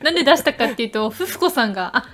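Playful young woman, slightly high voice. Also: lively intonation, rapid-fire, fairly bright tone. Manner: playful, joking. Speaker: radio co-host. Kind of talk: casual chat.

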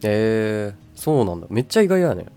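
A man's voice: on the low side, very animated, normal speed, mellow, clean tone, faint music behind. A man co-hosting a casual podcast, chatting in a laid-back, lightly teasing manner. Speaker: podcast co-host. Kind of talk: casual chat.

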